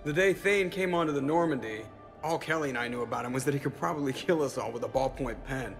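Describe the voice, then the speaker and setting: An actor playing an informal, candid crewmate in a video game, speaking with awkward sincerity as if giving a eulogy. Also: male voice, medium pitch, fast talker, creaky, soft background music, close to an everyday voice. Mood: wry, sincere. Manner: casual, candid.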